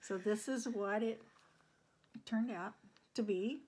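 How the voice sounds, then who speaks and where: A woman's voice: mid-range voice, slow pace, a little mellow, slight background noise. A woman speaking as a guest on a vlog, in a casual show-and-tell chat.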